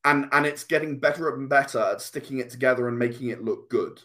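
A man's voice: medium pitch, fast, bright, forceful tone. Man in his late thirties, earnest. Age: late 30s. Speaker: podcast guest, comic and game creator. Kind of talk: casual conversational interview.

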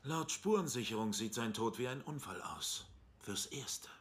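An actor playing a hostile, officious police detective, formal and deadpan, in a dubbed TV drama. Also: male voice, mid-range voice, normal speed, natural voice. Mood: cold, condescending.

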